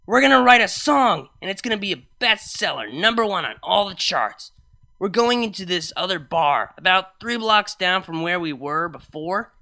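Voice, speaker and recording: A man's voice: high voice, lively intonation, normal speed, creaky, pushed and bright, heavily acted. A man voicing a drunk, weepy young mare pony who works as a DJ, slurring and wailing in an overwrought way. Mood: rowdy drunk.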